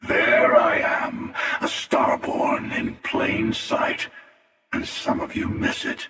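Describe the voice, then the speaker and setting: An older man playing an ancient, sardonic and menacing starfaring hunter in a video game, with a gravelly, wry, taunting drawl. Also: medium pitch, fairly even intonation, slow pace, sharp tone, recorded from a distance, slight background noise. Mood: cold, sardonic. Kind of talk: scripted video game character dialogue. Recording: cavernous reverb, voice set back from mic.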